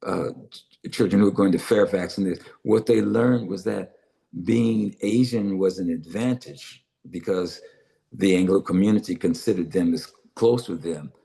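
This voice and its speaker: Low-voiced older man, halting speech. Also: vocal fry, dark tone. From Los Angeles, United States. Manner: halting, many "uh" fillers and restarts. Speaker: author and publisher, guest. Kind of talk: conversational interview answers, then reading aloud.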